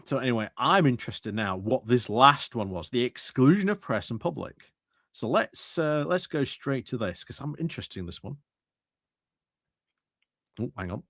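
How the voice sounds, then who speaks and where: A man's voice: medium pitch, animated, normal speed, a bit bright, no room sound. A man giving casual live commentary as a streaming host, thinking aloud in muttered asides into a close mic.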